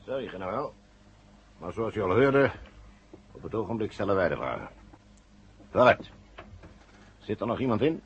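Casting: unhurried radio-play villain, lowish, very husky, politely threatening, animated intonation. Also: male voice, lo-fi sound, full character voice. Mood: mock-polite. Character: smooth-mannered, menacing male gunman in control. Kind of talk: 1970 Dutch radio drama acting.

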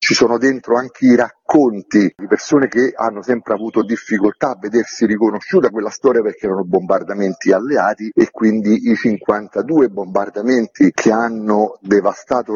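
A man's voice: fairly low pitch, a little mellow, slightly rough, dry recording, highs cut off. A man speaking as an interviewed author, explaining reflectively in long, articulate sentences. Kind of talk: radio interview, explanatory talk.

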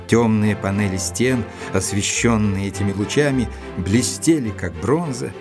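An actor playing a thoughtful gentleman investigator, narrating a mystery in a measured, deliberate literary style. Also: fairly low pitch, over music. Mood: measured, suspicious. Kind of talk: dramatized first-person literary narration.

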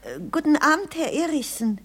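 Flustered high-voiced landlady. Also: animated, normal speed. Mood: flustered, hesitant. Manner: hesitant, stammering, deferential. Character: flustered, soft-hearted widowed landlady. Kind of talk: German radio play acting.

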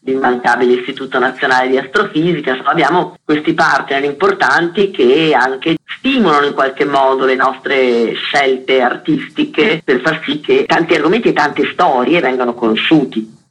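A man's voice: mid-range voice, normal speed, fairly bright tone, slightly rough, rough recording. A man giving informative, promotional answers as a guest in an interview. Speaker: interview guest presenting a theatre festival.

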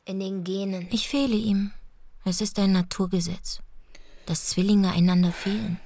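An actress playing a brooding, defiant daughter who pines for her twin brother, in intimate, husky radio drama acting. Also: medium pitch, steady tone, moderate pace, dark tone, close to an everyday voice. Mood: defiant, wistful. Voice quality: husky, breathy.

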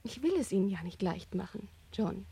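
An actress playing a lovestruck, headstrong young woman in a German radio crime drama, breathy, intimate and emotional. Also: mid-range voice, expressive, moderate pace, dark tone. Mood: challenging, coy. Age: young adult. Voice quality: breathy, often near whispering.

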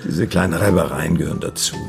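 Middle-aged male dentist, self-satisfied wine lover, creaky voice, ironic, expansive, naturalistic radio drama, music behind. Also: low voice, quick pace. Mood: conspiratorial, smug. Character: well-off male dentist hosting friends, smug wine connoisseur.

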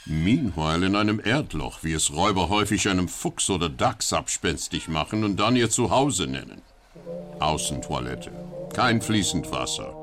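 A man's voice: low-pitched, expressive, moderate pace, a bit bright, faint music behind. A man playing a droll narrator of lurid robber tales in a radio play, with mock-dramatic wry asides.

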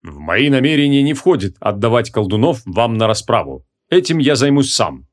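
Мужчина в роли сдержанного учтивого паладина, средний тембр. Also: male voice, medium pitch, big pitch swings, natural voice. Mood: calm, firm. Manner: formal, measured. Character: courteous, solemn, resolute male paladin. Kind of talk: audiobook character acting.

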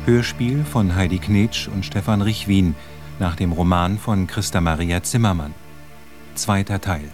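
A man reading scripted radio drama narration in a measured storytelling manner. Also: sits low, music in the background. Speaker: narrator and announcer.

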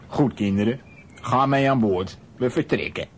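A man playing a stern, proud sea captain in a children's radio play, with an authoritative, gruff manner. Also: mid-range voice, measured pace, slight background noise, natural voice. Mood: grateful.

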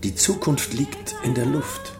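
A man's voice: medium pitch, wildly swooping pitch, a little slow, over music. A man playing a young, ambitious drug smuggler in a radio drama, courteous and persuasive like a salesman. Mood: eager, persuasive.

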